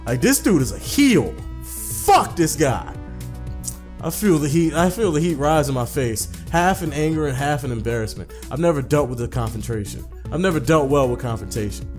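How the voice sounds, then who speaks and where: A man's voice: fairly high pitch, lively intonation, normal speed, a little mellow, soft background music. A man doing a casual let's play, swearing and repeating himself in slang as he comments and reads game text aloud. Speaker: gaming video host.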